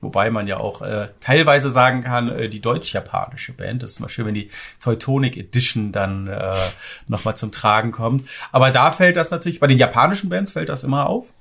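Man chatting casually with a creaky voice. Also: mid-range voice, brisk, fairly bright tone. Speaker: music podcast co-host. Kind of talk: casual chat about metal music.

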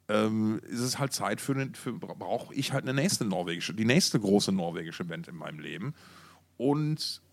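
A man co-hosting a music podcast in casual, slangy talk, often thinking aloud and repeating himself mid-phrase. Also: fairly low pitch. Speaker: podcast co-host.

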